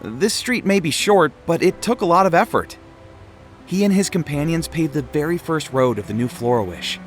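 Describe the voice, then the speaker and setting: A man playing a proud, polite, expressive street sweeper in a video game. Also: fairly high pitch, moderate pace, close to an everyday voice. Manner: expressive, earnest. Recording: music under the voice.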